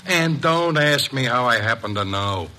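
A man's voice: mid-range voice, lively intonation, unhurried, bright, forceful tone. An American actor playing a shifty, wisecracking small-time crook with slangy tough-guy talk in a classic radio mystery. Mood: defensive.

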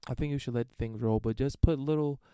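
A man talks alone into a dry, close recording, his voice smooth and warm. He rambles through listener emails with crude, irreverent jokes and lots of fillers, in an unhurried host's monologue. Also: mid-range voice, no echo. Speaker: podcast host answering listener emails. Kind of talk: casual solo monologue.

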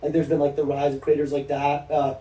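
A man in his twenties hosting a podcast, asking questions in a relaxed way full of fillers. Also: on the high side, a little fast. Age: 20s. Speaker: podcast host. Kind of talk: casual interview chat. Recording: distant echoey mic.

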